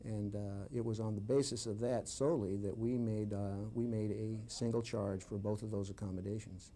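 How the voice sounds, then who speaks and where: A man giving a formal, halting interview answer on archival TV footage. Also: steady tone, mellow. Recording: faint rumbling noise, archival TV.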